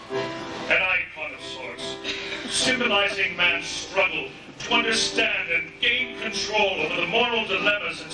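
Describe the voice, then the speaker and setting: A man playing a pompous, long-winded pundit in a scripted stage comedy, recorded from afar in an echoey hall. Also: high-pitched. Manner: pompous, declaiming. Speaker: stage performer. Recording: echoey hall, distant mic, music behind.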